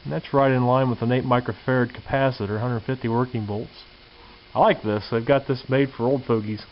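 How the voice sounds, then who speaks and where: A man's voice: medium pitch, steady tone, a little fast. Folksy man in a dull, hissy recording. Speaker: hobbyist presenter. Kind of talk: casual hands-on show-and-tell commentary. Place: indoor home workshop or garage. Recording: dull lo-fi camcorder audio with hiss.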